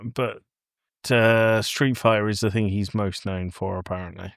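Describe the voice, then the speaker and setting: Male co-host, somewhat deep, bantering informally, recorded dry. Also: steady tone, unhurried, creaky, a bit bright, no echo. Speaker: podcast co-host. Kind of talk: casual bantering chat.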